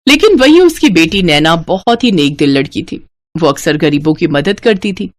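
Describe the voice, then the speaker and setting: A woman's voice: fairly low pitch, expressive, normal speed, no echo. A woman storyteller reading a scripted moral story as narrator.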